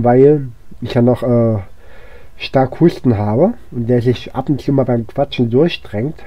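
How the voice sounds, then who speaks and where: A hoarse man with a cold giving casual, rambling gameplay commentary, with lots of fillers and restarts. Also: medium pitch, dry recording, highs cut off. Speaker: let's play gamer.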